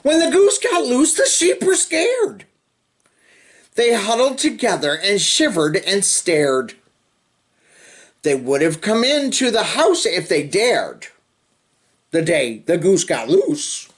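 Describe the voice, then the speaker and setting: A man reads a children's picture book aloud at a very slow pace. His pitch swings widely in a sing-song, expressive delivery, with drawn-out phrases and casual little asides to the young listener. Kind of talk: animated storybook read-aloud with chatty asides.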